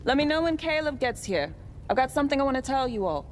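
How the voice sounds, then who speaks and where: A woman's voice: sits high, very animated, quick pace, slight background noise. An actress playing a determined, teasing teenage girl thief in a video game, with dry, casual retorts. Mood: affectionate, firm.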